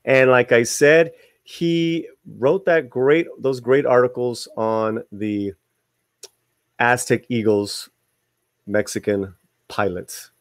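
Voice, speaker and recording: A man's voice: medium pitch, steady tone, slow, no echo. A man hosting a video, reading an article aloud with informal asides to viewers and some stumbles over the words. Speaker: video channel host.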